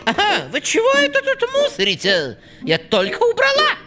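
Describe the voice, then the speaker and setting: A woman voicing a bossy, sentimental housekeeping owl in a cartoon, expressive and scolding in a singsong way. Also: very high-pitched, normal speed, exaggerated voice. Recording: loud music behind the voice.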